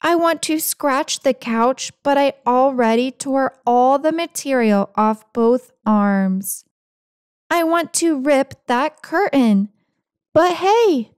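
A woman's voice: sits high, clean tone, no room sound, studio quality. A woman voicing a grumpy, dramatic, self-pitying house cat with sarcastic, theatrical complaining in a picture-book read-aloud.

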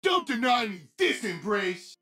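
An actor voicing a boisterous, gleeful bomb king for a video game, raspy and shouting theatrically. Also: very high voice, big pitch swings, a little slow, music in the background, heavily acted. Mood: gleeful, bombastic. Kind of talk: video game character voice lines.

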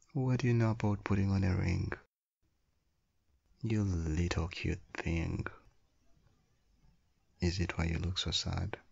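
A man playing an affectionate, playful husband and doting father in an intimate ASMR audio roleplay, with a husky, soothing delivery. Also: darkish tone, muffled recording, heavily acted. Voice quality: low, gravelly, husky.

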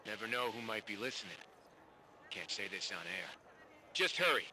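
A man playing an excitable, self-important informant over a radio in a video game. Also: lowish voice, moderate pace, slight background noise. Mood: urgent. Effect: radio filter. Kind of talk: video game cutscene acting.

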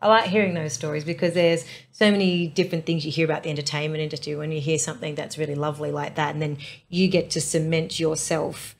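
Low-voiced effusive woman host. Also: level tone, fast talker, few pauses. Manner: effusive, gushing, many affirmations. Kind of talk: chatty interview hosting. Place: bright studio set, indoors.

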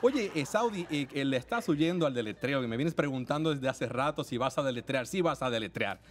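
A man's voice: mid-range voice, expressive, brisk. A young man with a Puerto Rican accent hosting a playful studio interview segment, teasing his guest and laughing often. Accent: Puerto Rican Spanish. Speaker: comedy segment host. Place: TV studio set. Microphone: desk microphone.

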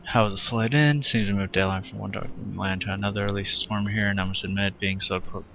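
A man's voice: fairly low pitch, steady tone, fast talker, muffled recording, a little hiss or hum. A man streaming a video game, chatting casually and thinking aloud as he plays. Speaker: gaming streamer. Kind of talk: casual gameplay commentary. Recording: game music playing loudly behind.

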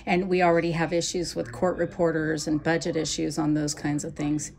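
A woman's voice: low-pitched, little pitch movement, a little fast, vocal fry, darkish tone. A woman asking friendly, conversational questions in a street interview.

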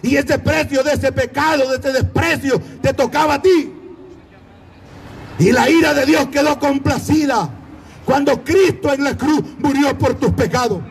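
A man's voice: extremely high pitch, a little slow, creaky, a little hiss or hum. A man with a Latin American Spanish accent preaching an evangelistic sermon in a fervent, declamatory, exhorting manner.

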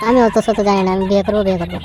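A woman's voice: mid-range voice, steady tone, rapid-fire, mellow, slight background noise, natural voice. A woman voicing a lovelorn, stubborn young village man in a comic cartoon, with coarse slangy banter.

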